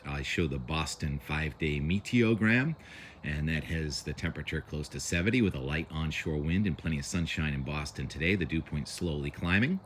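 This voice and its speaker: A New man with a very low, loud voice rambles casually about the weather. He fills his talk with "uh"s and restarts. He is outdoors on a camera mic, with faint ambient noise behind him. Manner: rambling, chatty, filler-heavy. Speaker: weather vlogger. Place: outdoors by the water in the morning. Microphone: camera mic, no lavalier.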